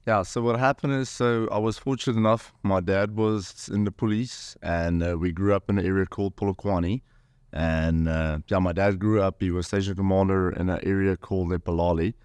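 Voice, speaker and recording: A man in his thirties with a South African accent, a hunter telling his story as a podcast guest in a relaxed, rambling way. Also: low voice, moderate pace, smooth, no room sound, clean studio sound. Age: 30s. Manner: rambling, many fillers and "pretty much".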